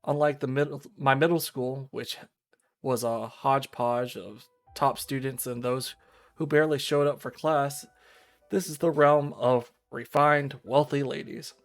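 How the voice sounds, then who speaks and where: A man reading the visual novel narration of a fatalistic, aloof teenage schoolgirl outsider, in a measured storytelling way. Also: medium pitch, steady tone, soft background music, close to an everyday voice. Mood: resigned. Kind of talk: scripted visual novel narration, read aloud.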